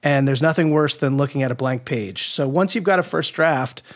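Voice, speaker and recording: A man's voice: medium pitch, moderate pace. A man speaking as a guest expert in a casual interview, giving easygoing, reassuring advice. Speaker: guest expert, writer and audio drama maker.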